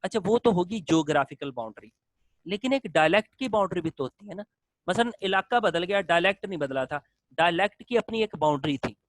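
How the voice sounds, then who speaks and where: A man's voice: sits high, animated, a little fast, no echo, rough recording. A male lecturer explains a topic in a classroom style, repeating phrases in long lists and mixing in English terms.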